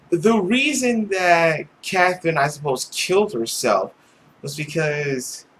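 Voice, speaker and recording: A man's voice: fairly high pitch, fairly bright tone, a little hiss or hum, rough recording. A man reading a visual novel aloud dramatically as a let's player, with commentary of his own.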